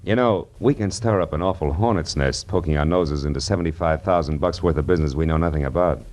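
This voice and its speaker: An American actor playing a wry, world-weary private detective in 1940s radio style, sardonic and full of dry delivery. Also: male voice, rumbling low, fast, darkish tone. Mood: businesslike. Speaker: actor, lead and narrator. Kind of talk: 1940s radio detective acting, narration and dialogue. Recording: old radio recording with music behind.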